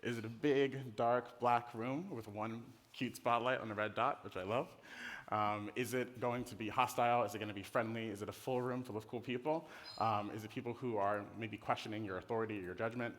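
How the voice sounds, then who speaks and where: A man's voice: fairly low pitch, fairly even intonation, brisk, a bit bright, a little hiss or hum. A middle-aged man with an American accent giving an informal conference presentation in a casual, playful, conversational way. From Netherlands. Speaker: conference speaker, design director. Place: conference stage in a hall. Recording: reverberant hall.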